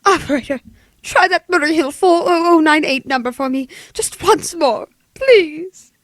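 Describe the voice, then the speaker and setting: A woman's voice: measured pace, no room sound. A woman playing a nervous, demanding, self-pitying invalid wife in a melodramatic 1940s-style radio play. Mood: anxious. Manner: imperious, melodramatic, shrill, fretful.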